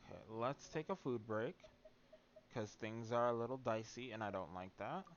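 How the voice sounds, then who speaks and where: A man's voice: mid-range voice, normal speed, dull audio, slight background noise. A man giving casual live commentary while playing a video game, sometimes reading text aloud. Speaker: game streamer.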